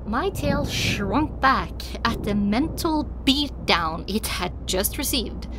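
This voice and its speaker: A woman's voice: fairly high pitch, lively intonation, slow pace, noisy background. A woman reading first-person dramatic audiobook narration as a scripted story. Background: music.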